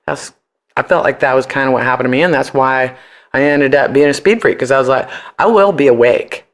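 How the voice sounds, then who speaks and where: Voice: medium pitch, fairly quick. A middle-aged person with an American accent tells stories candidly as an interview guest, in slangy, profane language, acting out the voices in arguments. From United States. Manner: animated, profane, slangy, acts out quoted arguments. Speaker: podcast guest, punk band singer.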